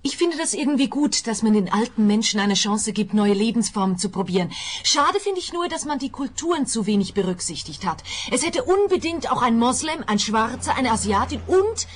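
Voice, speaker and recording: An actress playing a young, well-meaning journalist in a radio comedy, earnest in manner. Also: female voice, a little high, animated, fairly quick.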